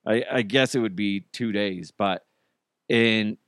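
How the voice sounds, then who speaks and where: A man hosting his own podcast in casual chat, his voice raspy and strained from talking a lot, rambling and poking fun at himself. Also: lowish voice, takes it slowly, fairly bright tone, dry recording, clean studio sound. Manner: rambling, self-mocking, many restarts. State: hoarse, strained voice. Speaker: podcast host.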